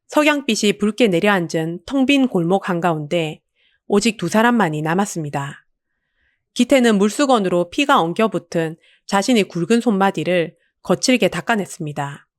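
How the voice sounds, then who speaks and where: A woman narrates an audiobook in a dramatic, scripted storytelling style. Her voice is mid-pitched and recorded very dry, with little room sound. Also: dry recording.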